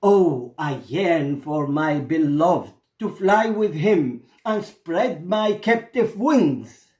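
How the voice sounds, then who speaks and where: A man's voice: on the high side, expressive, darkish tone, voice pushed up. A man with an Iraqi Arabic accent voicing a sorrowful female songbird in a fable, plaintive and poetic as it yearns for freedom. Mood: wistful yearning. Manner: plaintive, poetic, drawn-out delivery. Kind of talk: storybook fable storytelling. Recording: roomy echo.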